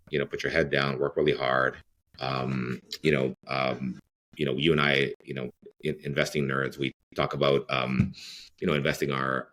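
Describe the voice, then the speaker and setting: Very deep-voiced man, rambling. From Greece. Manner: rambling, many fillers and restarts. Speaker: real estate CEO guest. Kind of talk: podcast interview.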